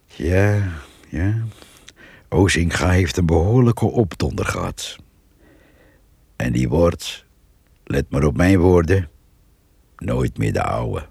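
An actor playing a gruff, gossipy farmer who narrates a radio drama in a slow, musing, grumbling manner. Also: male voice, sits low, lively intonation, darkish tone, no echo, exaggerated voice. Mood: grim musing. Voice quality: gravelly, husky. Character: gruff rural farmer narrating his diary, gossipy and wry.

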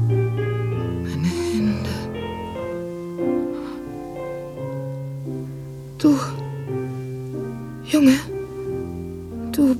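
An actress playing a battered, proud and bitter imprisoned concert pianist in a radio drama, speaking in halting, introspective fragments. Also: female voice, fairly high pitch. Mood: pleading, broken. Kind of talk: German radio drama acting, interior monologue.

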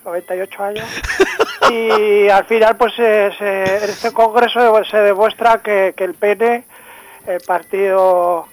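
A man plays an ancient, ultra-patriotic retired officer with a Spanish accent. The voice is notably high, and he talks in solemn, formal phrases that stumble and repeat, as in a satirical radio sketch. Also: steady tone, dry recording, rough recording. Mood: solemn, halting. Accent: Spain. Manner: halting, repetitive, solemnly formal. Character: pompous, doddery 98-year-old retired Spanish army officer, ultra-patriotic politician.

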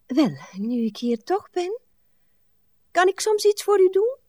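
An actress playing a prim, pious, put-upon spinster in a radio play, fussy and martyred, often hushed. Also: female voice, very high-pitched, sing-song, measured pace, no echo, studio quality, full character voice. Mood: martyred, huffy.

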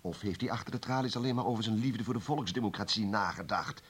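Husky, hard-nosed communist official. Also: male voice, on the low side, quick pace. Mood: heated, bitter. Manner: forceful, argumentative, insistent. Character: hard, suspicious, stubborn male communist official. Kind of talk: radio play acting.

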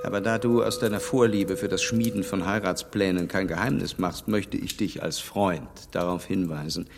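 An actor playing a stern gentleman who lectures a young woman in a radio play, speaking firmly and reproachfully. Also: deep voice, fairly even intonation, moderate pace, gravelly, big-room sound. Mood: warning. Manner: firm, reproachful, emphatic.

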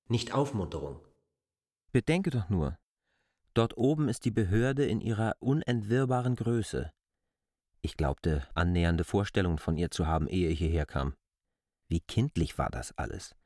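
Earnest stubborn outsider in a german-style radio play, lowish voice, dry sound, keeps reasoning on and on very deliberately. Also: male voice, a little mellow, no room sound. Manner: deliberate, insistent, reasoning in long clauses. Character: K., earnest, argumentative male stranger to the village. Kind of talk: literary radio drama acting.

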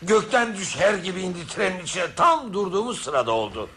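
A man playing a stubborn, dismissive and excitable train passenger in a Turkish radio drama. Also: sits high, a little fast, slight background noise, heavily acted. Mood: sceptical. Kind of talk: Turkish radio theatre acting.

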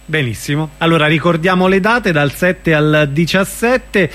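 A man's voice: a little high, normal speed, fairly bright tone. A man hosting a radio interview, asking brief questions and keeping it moving. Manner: brief prompts and backchannel. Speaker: radio host. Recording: radio broadcast.

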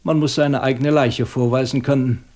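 A male actor as a police inspector in a radio crime play, speaking standard German at a medium male pitch. He questions methodically and deliberately, with ironic courtesy and a shrewd edge. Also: fairly even intonation, a little mellow, natural voice. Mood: methodical, confident. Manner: probing, deliberate, ironically polite.

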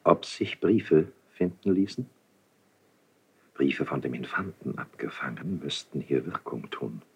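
An actor playing a scheming, discreet courtier in classical German verse radio drama, deep and husky, formal and probing, on a muffled recording. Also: male voice, expressive, unhurried, heavily acted. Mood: conspiratorial. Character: scheming, discreet male courtier plotting intrigue.

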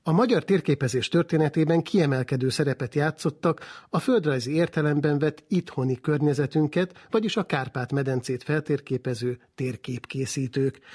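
A man's voice: a little high, a little mellow, husky. A man narrating a scripted radio feature as its interviewer, in composed, written sentences.

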